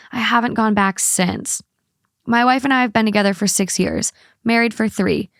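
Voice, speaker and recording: A woman's voice: mid-range voice, dry recording. Woman hosting a podcast, close dry sound. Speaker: podcast host. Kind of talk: casual co-host chat and reading a story aloud.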